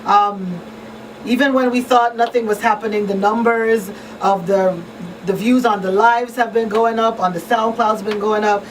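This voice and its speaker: A woman's voice: medium pitch, fairly even intonation, normal speed. A woman hosting a live radio talk show in a casual style from a small studio. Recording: slightly distant, noisy room sound.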